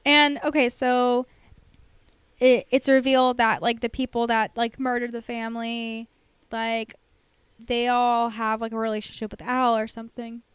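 A woman's voice: fairly high pitch, flat delivery, unhurried, smooth, dry recording. A woman co-hosting a podcast in casual chat about a TV show, informal and sweary, saying "like" constantly. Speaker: podcast co-host.

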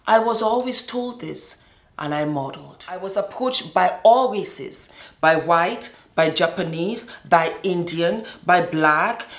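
A middle-aged woman with a fairly low voice recounts her own life in a roomy, echoing space. She speaks with emphasis, often repeating words to drive them home, in the manner of a personal testimony. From Nigeria and Scotland. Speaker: former model telling her life story. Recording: roomy, echoing indoor sound.

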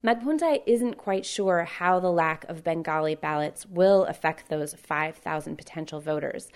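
Woman reporter, measured low voice. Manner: clear measured narration, friendly questions. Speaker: radio reporter. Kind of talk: news report with field interviews.

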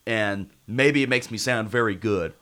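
A man's voice: fairly low pitch, a little slow, a bit bright. An American man hosting casual sports talk radio, congested with a head cold.

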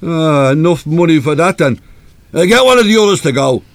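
A man's voice: fairly high pitch, expressive, brisk, no room sound. A man with an Irish accent playing a coarse, abusive, hard-drinking husband in a live radio play. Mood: irritable, demanding. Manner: coarse, belligerent, cursing.

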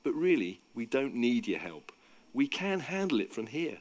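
Storybook role: cocky, forceful fisherman, played by a male reader, highly animated delivery. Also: moderate pace. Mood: firm, insistent. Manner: bossy, teasing, forceful. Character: cocky, bossy fisherman disciple, panicking and bellowing in storms. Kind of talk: dramatised story reading.

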